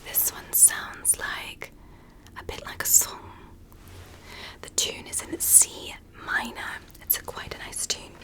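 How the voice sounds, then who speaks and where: A woman's voice: slow, rough recording. Whispering woman in a soothing ASMR roleplay. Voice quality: soft, breathy whisper. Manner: soothing, gentle, reassuring. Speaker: ASMR performer playing an ear doctor. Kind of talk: ASMR medical exam roleplay.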